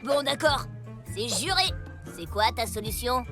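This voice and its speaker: A woman's voice: fairly high pitch, expressive, unhurried, over music. A woman voicing a cocky, grumpy prehistoric boy with a brash, sneering manner in a French cartoon. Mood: eager.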